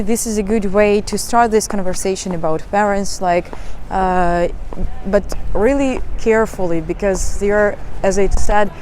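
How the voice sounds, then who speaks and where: A woman's voice: medium pitch, darkish tone, slight background noise. A young woman, giving dating advice in a live talk and answering questions, hesitant and full of fillers. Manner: hesitant, many fillers and restarts.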